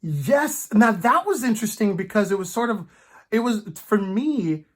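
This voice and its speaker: A man co-hosting a fan discussion in a casual, animated way, often slipping into imagined quotes as he talks. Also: sits high, normal speed. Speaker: co-host of a fan discussion show.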